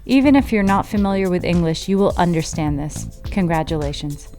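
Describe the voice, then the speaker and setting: A woman's voice: fairly low pitch, animated, brisk, dark tone, faint music behind. A woman co-hosting a podcast, reading listener comments aloud and bantering with her co-host.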